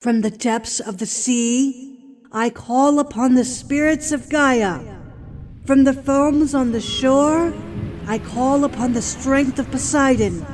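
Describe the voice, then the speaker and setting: A slow, high-voiced solemn spellcaster. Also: female voice, a little mellow. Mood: solemn, incantatory. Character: solemn spellcaster chanting an invocation to ancient spirits. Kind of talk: incantation in audio drama.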